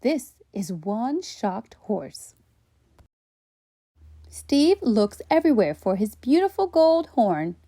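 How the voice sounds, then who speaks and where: A woman reading a storybook aloud for children in an expressive way, with playful asides. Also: on the high side, slow, pauses often, no room sound, clean studio sound. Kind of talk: animated storybook read-aloud.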